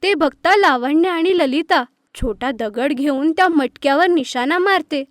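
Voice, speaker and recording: A woman's voice: sits high, unhurried, no room sound, studio quality. A woman narrating a scripted children's story.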